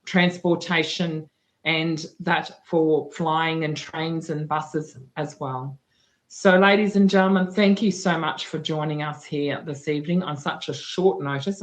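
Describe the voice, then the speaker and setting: A woman with a husky, tired voice hosting a livestream news talk, chatty and full of fillers and restarts. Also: lowish voice. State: husky voice from talking too much. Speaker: guest news presenter.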